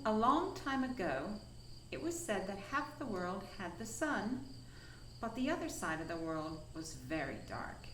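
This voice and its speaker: A woman storyteller reading a children's picture book expressively, with dramatic pauses and emphasis. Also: medium pitch, big pitch swings, echoey room, far from the mic. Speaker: storyteller from a science museum.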